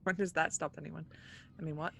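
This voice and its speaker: A woman's voice: sits low, fairly even intonation, a little fast, gravelly, fairly bright tone, no room sound. A woman playing a tabletop roleplaying game, chatting casually and speaking in character.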